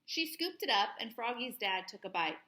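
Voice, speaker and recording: A woman host reading a children's story aloud in an expressive, playful way, with plenty of sound words. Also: fairly high pitch, moderate pace, reverberant.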